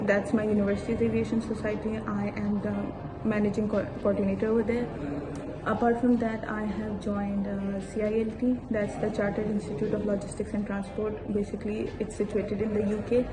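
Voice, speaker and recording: A 21-year-old woman raised in Saudi Arabia, introducing herself as a guest in a casual interview, with hesitant pauses and restarts and some Urdu mixed in. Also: mid-range voice, little pitch movement, background noise. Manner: hesitant, many fillers and restarts, mixing in Urdu. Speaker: interview guest, aviation student.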